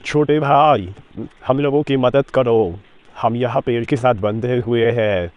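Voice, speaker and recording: A man's voice: mid-range voice, takes it slowly, no room sound, muffled recording, lightly acted. A man playing a jealous elder prince in a cartoon story. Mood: pleading.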